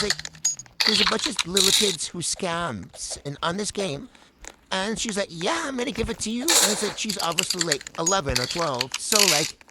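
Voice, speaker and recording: A high-pitched speaker talks over gameplay in a loose, rambling way, padding every thought with "like" and "um." The pitch swings up and down with a lively, animated melody. A steady layer of hiss sits under the voice. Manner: rambling, full of "like" and "um" fillers. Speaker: gameplay commentator. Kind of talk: casual voice-over commentary on gameplay.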